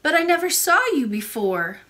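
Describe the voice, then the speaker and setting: Woman voicing a high, lilting curious little princess. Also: sing-song, moderate pace, sharp tone, heavily acted. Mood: puzzled, curious. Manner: childlike, eager questioning. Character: curious, polite little princess, innocent and bewildered.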